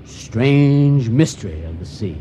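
A man's voice: mid-range voice, animated, slow pace, warm tone, highs cut off. An actor playing a folksy, menacing con-man pirate who poses as a pious sailor, drawling and taunting in a radio drama. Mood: smug menace. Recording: noisy storm-like ambience behind voice.

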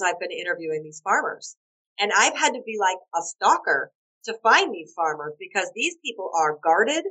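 A hoarse woman in her forties, a guest telling stories animatedly, laughing often and acting out quotes. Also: mid-range voice, fairly bright tone, rough recording. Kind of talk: animated storytelling.

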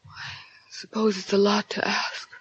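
A woman's voice: medium pitch, flat delivery, measured pace, husky, no room sound. A woman playing an anxious, wistful wife, a mysterious femme fatale in a 1940s radio drama. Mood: regretful. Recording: muffled 1940s radio recording.

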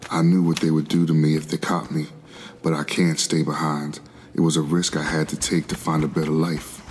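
An actor playing a weary, determined man who escaped slavery in the 1700s, narrating his flight in a measured, solemn stage style. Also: rumbling low, flat delivery, a little hiss or hum. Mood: grave, resolute. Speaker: narrator in a gospel oratorio. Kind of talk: dramatic scripted first-person narration.

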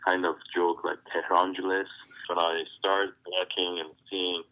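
Deep, flat-voiced Iranian man. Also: poor audio. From Iran. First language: Farsi. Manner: halting, searching for words, heartfelt. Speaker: pastor. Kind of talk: interview answers.

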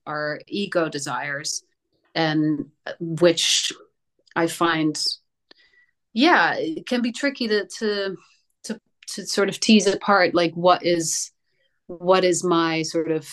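Slow, low-voiced Dutch woman, searching for words. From Netherlands. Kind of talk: reflective casual conversation.

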